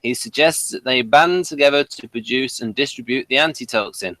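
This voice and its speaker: A man's voice: medium pitch, fairly quick, sharp tone, no echo. A man hosting a review show, reading out summaries and putting questions. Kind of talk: reading an episode synopsis, then prompting discussion.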